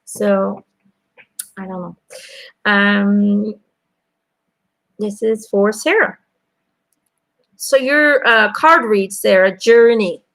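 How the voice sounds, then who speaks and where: Chatty, gravelly-voiced Belgian woman reading cards. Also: medium pitch, drawn-out words, pauses often, a bit bright. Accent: American-sounding English. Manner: chatty, hesitant, repeating names and phrases. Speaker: angel card reader. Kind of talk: live-stream card reading. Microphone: webcam.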